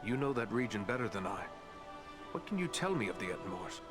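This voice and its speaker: A man's voice: fairly low pitch, very animated, fairly quick, music in the background. An actor playing a serious adventurer in a fantasy game, speaking formally as he questions others. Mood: serious, questioning. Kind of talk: dramatic fantasy game acting.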